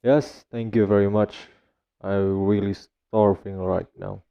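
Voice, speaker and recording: A man's voice: fairly low pitch, little pitch movement, slow pace, creaky, clear, resonant tone. A young-sounding man with an Indonesian accent playing a polite college student in an amateur radio drama, speaking careful English. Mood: polite wonder. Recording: dull, lo-fi track with music.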